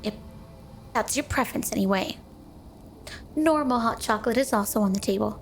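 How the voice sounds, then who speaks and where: A woman's voice: on the high side, a little fast, soft background music, lightly acted. A woman voicing a flirty, clingy yandere snow woman spirit in an ASMR roleplay, speaking playfully and coyly to the listener as a doting wife. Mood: sweet, coaxing. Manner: playful, coy, doting, teasing.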